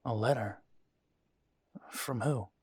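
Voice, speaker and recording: Werewolf boyfriend for audio drama, male actor: mid-pitched, unhurried and halting, devoted yet weighed down by family estrangement, very dry sound. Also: a little mellow, slightly rough, dry recording. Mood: concerned, puzzled. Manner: halting, sighing, tender. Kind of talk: intimate roleplay audio drama.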